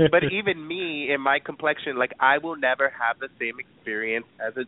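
A New York man speaks in an animated, loose way, filling his talk with "like" and "you know" and laughing often. His voice sits at an ordinary male pitch. The sound is dry and thin, like a phone call. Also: moderate pace, no echo. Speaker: guest. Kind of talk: casual discussion. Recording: dry, tinny phone-like line.

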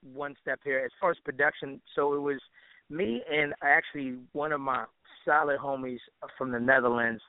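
A man's voice: mid-range voice, steady tone, moderate pace, dry recording. A man being interviewed as a musician and DJ, chatting casually in a rambling, slangy way over a narrow, phone-like line.

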